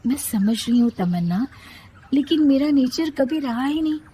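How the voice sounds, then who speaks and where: A woman voicing a meek, patient young wife and mother in a cartoon, soft-spoken and apologetic. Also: sits high, lively intonation, moderate pace, a little hiss or hum, pitched above the performer's own voice. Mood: gentle, resigned.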